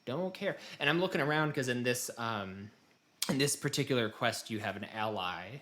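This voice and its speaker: A man's voice: medium pitch, normal speed, sharp tone. A man co-hosting a podcast, chatting casually about video games in a rambling, self-deprecating way with casual swearing. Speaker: podcast co-host.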